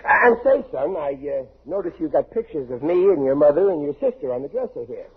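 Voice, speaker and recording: A man's voice: a little high, sing-song, a little fast, a crowd in the background. An actor playing a blundering, cocksure working-class father in 1940s radio comedy, stammering and overconfident. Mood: smug. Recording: muffled, hissy 1940s radio recording.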